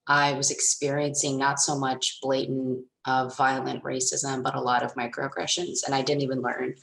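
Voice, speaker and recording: A woman in her thirties from the rural Midwest, speaking thoughtfully and haltingly as a panelist on a video call. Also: rumbling low, flat delivery, vocal fry, fairly bright tone, roomy sound, low-quality recording. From Nebraska, USA. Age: 30s. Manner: thoughtful, halting, many fillers. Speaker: panelist and moderator. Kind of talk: reflective panel discussion.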